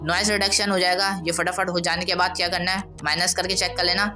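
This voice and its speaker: A man presents an audio editing tutorial in a casual, instructional way. His informal commentary runs over clearly audible music. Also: high-pitched, little pitch movement, quick pace.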